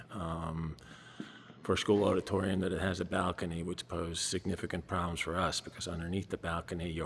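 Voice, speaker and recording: A man's voice: low voice, a little hiss or hum. A man narrating a casual walkthrough video in a large auditorium, explaining things informally as a project presenter. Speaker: nonprofit staffer presenting a project. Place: large school auditorium with balcony, indoors.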